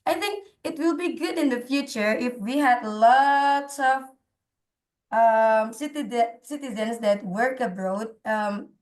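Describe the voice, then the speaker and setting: A woman with an Indonesian accent speaks in a fairly high voice. She shares her opinion informally on an online call. Her delivery is halting, with repeated phrases as she searches for the next word. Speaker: participant in an online discussion.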